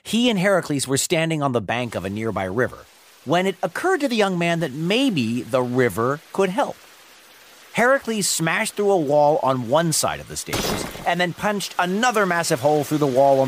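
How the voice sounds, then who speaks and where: A man's voice: a little high, very animated, normal speed, background noise. A man narrating a story for children as a podcast host, playful and wry, with dramatic emphasis. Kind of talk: animated storytelling narration of Greek myths for children.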